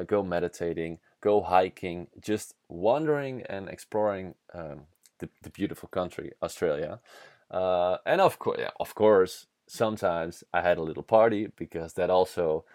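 A man's voice: on the low side, darkish tone, dry recording, studio quality. A young man with a Dutch accent telling a candid, casual story on his own podcast, swearing now and then and restarting sentences. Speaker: podcast host.

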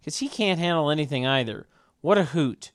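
A man narrating a script's stage directions at a table read, with deadpan, mocking asides. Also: a little high, fairly quick.

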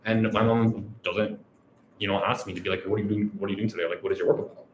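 A man's voice: on the low side, fairly even intonation, fast, reverberant, slight background noise, rough recording. A man from Canada, an academic researcher giving a reflective scholarly talk who often closes his points with "right?". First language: Urdu.

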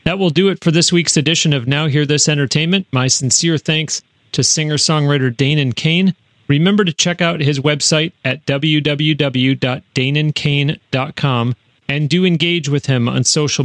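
A male podcast host with a slightly high voice delivers a scripted sign-off. His words are deliberate and clearly enunciated, close on a studio microphone with very little room sound. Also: fairly bright tone, no echo. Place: recording studio.

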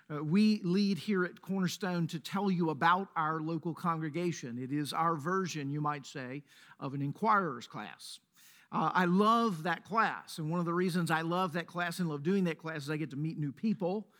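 Man, Mississippi accent, pastor, high voice, earnest sermon, deliberate pauses. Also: a bit bright. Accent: Mississippi Southern. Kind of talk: sermon with opening prayer. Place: church sanctuary.

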